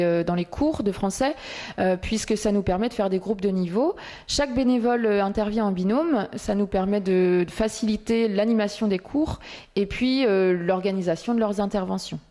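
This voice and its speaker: Woman explaining stuff interview style, normal pitch, pauses to find words. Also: dark tone. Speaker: social centre staff presenter.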